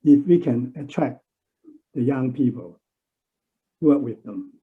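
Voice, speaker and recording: A man from a church congregation speaking earnestly on a video call, often pausing to search for words. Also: medium pitch, slightly rough. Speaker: church member. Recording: tinny phone-like line.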